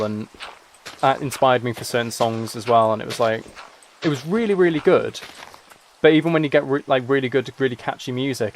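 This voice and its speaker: A man with a mid-pitched voice rambles casually through a gaming livestream. He sounds tired, often breaks off and restarts as he answers chat, and clicks and noise run clearly behind him. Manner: rambling, self-interrupting, replying to chat comments. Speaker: livestreamer and musician.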